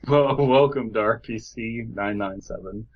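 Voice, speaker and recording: A man's voice: mid-range voice, a little slow. A man co-hosting a podcast with casual, mock-earnest comedic banter, recorded on a slightly dull, lo-fi microphone. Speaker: podcast co-host.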